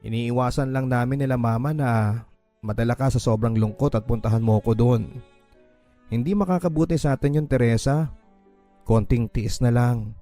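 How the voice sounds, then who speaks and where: A man's voice: mid-range voice, normal speed, pauses often, mellow, soft background music, close to an everyday voice. A man with a Filipino accent voicing an earnest, protective young husband in hiding for a radio drama. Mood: earnest, reassuring. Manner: earnest, serious. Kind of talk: Tagalog radio drama dialogue.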